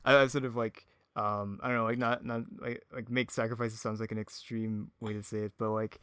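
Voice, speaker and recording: A man's voice: fairly low pitch, little pitch movement, brisk, fairly bright tone, clear, resonant tone, dry recording, clean studio sound. A man hosting a casual podcast chat in an easygoing, agreeable way, with hesitant phrasing. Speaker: podcast host, audio drama producer.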